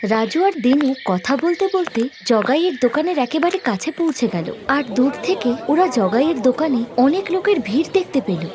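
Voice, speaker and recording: A woman narrating a scripted story in an expressive storytelling style. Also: sits high, normal speed, few pauses, crowd noise.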